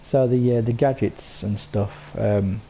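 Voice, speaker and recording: Croaky low man with gravelly voice. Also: steady tone, a little slow, warm tone. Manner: rambling, full of ums and restarts. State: sore throat, croaky. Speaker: game settlement builder. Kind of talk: casual voice-over walkthrough.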